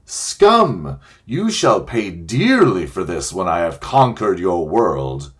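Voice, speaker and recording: A man voicing a proud, cruel witch queen who threatens loudly and declaims her words, in a storybook reading. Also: deep voice, big pitch swings, slow pace, slightly rough, heavily acted. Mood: gloating fury.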